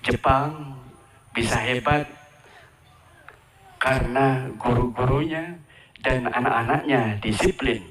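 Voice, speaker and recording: A man's voice: mid-range voice, takes it slowly, pauses often, a bit bright. A man gives a ceremony address to students, asking the crowd questions and repeating his phrases. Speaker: ceremony speaker at an Islamic boarding school. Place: outdoor school ceremony. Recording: echoey, from far off the mic.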